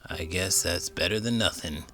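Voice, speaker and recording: A man's voice: deep voice, normal speed, pushed and bright, voice pushed down. A man voicing a wistful, polite lump of coal with artistic yearnings in a children's storybook. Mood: meek, polite. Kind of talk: children's storybook read-aloud.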